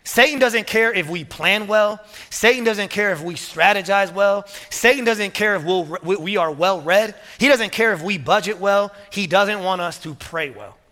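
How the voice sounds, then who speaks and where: A male pastor preaching with energy, his voice high and bright as he presses each point and repeats key words. His sermon rings out with a long reverb in a large hall. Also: normal speed, hardly any pauses. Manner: energetic, repeats words, rising to emphatic peaks.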